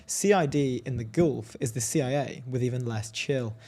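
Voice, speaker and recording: A man co-hosting a casual talk show, animated and laughing often, reading out quotes. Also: medium pitch, moderate pace. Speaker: co-host. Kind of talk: casual chat.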